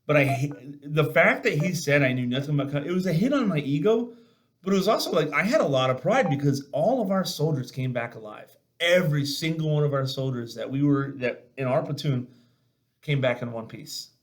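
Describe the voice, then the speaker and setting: A man hosting a casual video chat, telling military stories in a blunt, salty, animated way and acting out the dialogue. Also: on the high side, fairly quick. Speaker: podcast host and army veteran.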